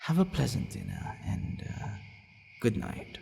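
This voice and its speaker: A man's voice: mid-range voice, wildly swooping pitch, unhurried, vocal fry, dark tone, slight background noise, heavily acted. A man playing a haughty, possessive vampire who is gradually growing fond of his captive, in an ASMR roleplay, with a teasing, commanding manner and hesitant asides. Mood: possessive, softening. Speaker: audio roleplay voice actor. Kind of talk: ASMR boyfriend roleplay acting. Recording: long reverberant hall echo.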